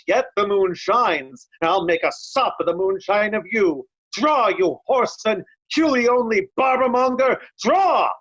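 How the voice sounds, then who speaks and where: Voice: mid-range voice, a bit bright. Someone playing a blunt, furious old Shakespearean loyalist, dull recording. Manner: scornful, emphatic, piles up insults. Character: Kent, blunt hot-tempered grey-bearded old loyalist, railing insults. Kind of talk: reader's theatre Shakespeare acting.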